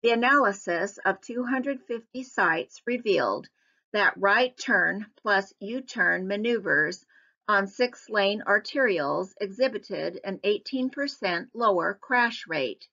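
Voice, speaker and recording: A woman giving scripted narration for a public hearing slideshow in an even, measured reading. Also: medium pitch, gravelly, lo-fi sound.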